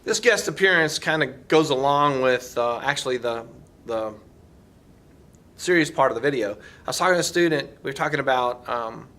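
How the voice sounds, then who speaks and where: A middle-aged man talking casually and reflectively to camera, halting and earnest as he thinks out loud. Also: mid-range voice, animated. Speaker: vlogger and teacher.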